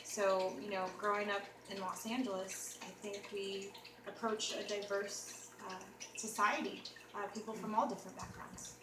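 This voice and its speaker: An American woman speaks as host in a thoughtful, searching, earnest way. Her voice sits mid pitch and sounds distant in an echoey room, with clear noise behind her. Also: level tone, normal speed. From United States. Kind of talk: casual conversation. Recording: echoey room, mic far off, noisy.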